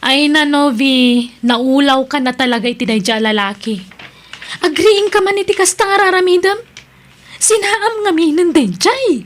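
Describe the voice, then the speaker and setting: A woman's voice: sits high, animated, normal speed. A Filipino woman playing a blunt, disapproving best friend in an audio drama, admonishing and exasperated.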